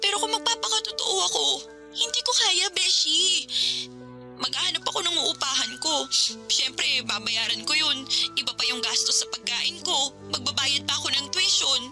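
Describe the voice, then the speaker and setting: A woman's voice: high-pitched, normal speed. A woman playing a troubled, humble young college student in a Filipino radio drama, earnest and hesitant, with a Filipino accent. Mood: worried, earnest. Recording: music bed behind the voice.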